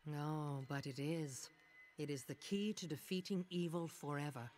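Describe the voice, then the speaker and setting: A woman voicing a mysterious middle-aged witch in a fantasy video game, with an ominous, deliberate manner. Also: low voice, fairly even intonation, a little mellow. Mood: urgent, commanding. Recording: music behind.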